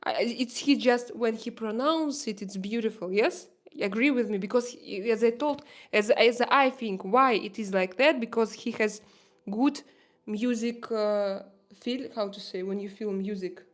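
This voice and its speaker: A woman from Russia with a Russian accent, hosting a casual livestream chat, talking haltingly with many fillers and frequent laughter. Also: a little high. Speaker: livestream host.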